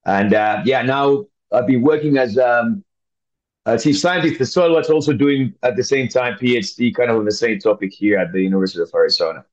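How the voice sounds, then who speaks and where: A man's voice: medium pitch, a little fast. Finnish-accented man on dull audio. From Finland. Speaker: podcast guest, scientist. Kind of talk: conversational interview answers.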